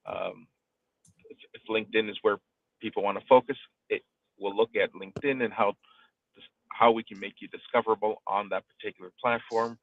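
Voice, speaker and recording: Phone-like, low-voiced man coaching. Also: no room sound. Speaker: live streaming coach hosting an audio discussion. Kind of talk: conversational coaching advice. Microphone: live online audio room connection. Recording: tinny phone-like online call audio.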